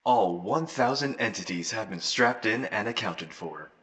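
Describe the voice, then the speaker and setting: A man's voice: on the low side, expressive, a little slow, a bit bright, a little hiss or hum. A man playing a slick, polished announcer for a futuristic dating company in a scripted audio roleplay. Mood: slick, official. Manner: polished, salesman-like. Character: slick, upbeat male corporate announcer for futuristic dating service.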